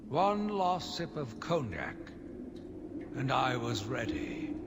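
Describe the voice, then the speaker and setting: An actor playing a zealous, deluded asylum warden who delivers a theatrical, deliberate diary monologue in a video game. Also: male voice, mid-range voice, full character voice. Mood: menacing resolve. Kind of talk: dramatic first-person game monologue. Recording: long reverb, clearly noisy ambient backdrop.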